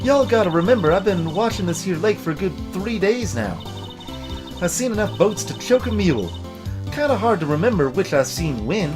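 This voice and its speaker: Performer doing a lively Southern country gal. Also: medium pitch, normal speed, music in the background, natural voice. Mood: folksy, breezy. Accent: American Southern. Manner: folksy, drawling. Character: brash friendly young Southern country woman, research student. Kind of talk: video game character voice-over.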